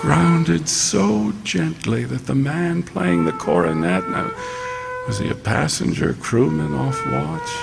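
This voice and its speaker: An actor playing a haunted lighthouse keeper who tells his ordeal in a radio play, with gravelly dramatic storytelling that drops to hushed tones. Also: mid-range voice, in a large hall, exaggerated voice. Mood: weary. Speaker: narrator and lead actor. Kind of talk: live radio theatre.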